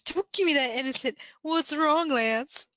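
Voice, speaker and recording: A woman's voice: high voice, measured pace, fairly bright tone, no room sound. A woman voicing a teasing, easily indignant young man in an amateur audio fan fiction, speaking with playful emphasis.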